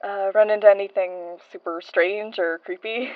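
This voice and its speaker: A woman's voice: medium pitch, fairly even intonation, moderate pace, no echo, lightly acted. Curious, nervy archive worker, female actress, naturalistic and a bit awkward, medium register, slightly muffled dry studio sound. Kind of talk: naturalistic audio drama acting.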